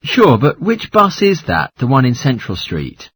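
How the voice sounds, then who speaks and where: A man's voice: medium pitch, huge pitch swings, unhurried, a bit bright, breathy edge, dry recording, exaggerated voice. A man playing a polite caller asking for travel information in a scripted language-test dialogue. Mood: polite, inquiring.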